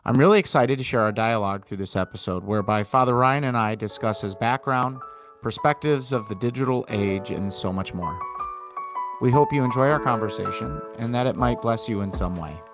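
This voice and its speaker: A man's voice: mid-range voice, brisk, music in the background. An American man hosting a podcast, speaking in an informal, enthusiastic way. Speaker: podcast host. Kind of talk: casual interview chat.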